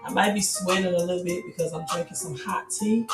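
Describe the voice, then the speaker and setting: A hoarse woman with a raspy voice hosting a casual livestream, greeting viewers and urging them to like and subscribe. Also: on the low side, steady tone, brisk, over loud music. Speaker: crypto livestream host. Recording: roomy, slightly distant mic.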